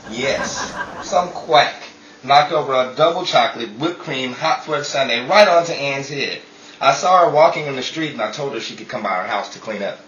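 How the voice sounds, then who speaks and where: A man's voice: mid-range voice, moderate pace, a bit bright, close to an everyday voice. A man playing a bewildered, well-meaning husband in an earnest old-time radio drama style. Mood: earnest, explaining. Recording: roomy, distant, muffled, hissy amateur recording.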